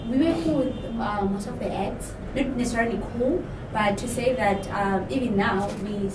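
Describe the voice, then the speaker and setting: A woman's voice: fairly high pitch, normal speed. Woman, thoughtful, word-searching, distant, noisy room. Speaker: class participant. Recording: echoey room from afar, noisy.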